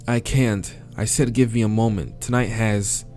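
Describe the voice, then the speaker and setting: A man's voice: fairly low pitch, fairly even intonation, normal speed, darkish tone, faint music behind, lightly acted. A man voicing an exhausted visual novel protagonist who complains and protests.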